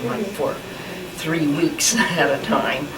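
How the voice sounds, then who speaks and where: An elderly woman, recalling her life in a slow, hesitant oral history interview with small laughs and restarts. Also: sits low, over a noisy crowd. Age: 80s. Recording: distant mic in a roomy space with loud background noise.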